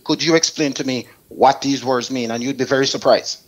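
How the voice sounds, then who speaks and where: A man's voice: medium pitch, steady tone, brisk, low-quality recording. A man, speaking as a talk show panelist and giving emphatic, informal step-by-step advice. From Canada resident.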